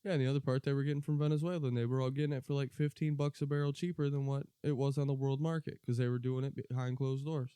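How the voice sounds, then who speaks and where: A man's voice: medium pitch, flat delivery, quick pace, few pauses, dark tone, smooth, no echo. An American man co-hosting a podcast, chatting casually about politics in a blunt, profane, opinionated way. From United States. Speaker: podcast co-host.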